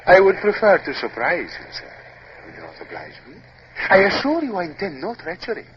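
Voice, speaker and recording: Smug Spanish captain, swooping pitch. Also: male voice, huge pitch swings, brisk. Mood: mock-courteous. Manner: mock-courteous, gloating. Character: smug, cruel, oily Spanish sea captain. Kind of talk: 1950s radio drama acting. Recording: noisy muffled old radio broadcast.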